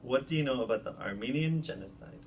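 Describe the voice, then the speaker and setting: A man hosting a casual interview, friendly and quick to laugh, putting questions to his guest. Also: mid-range voice, lively intonation, dull audio, a little hiss or hum. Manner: friendly, laughs easily, offers short comments. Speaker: interview host.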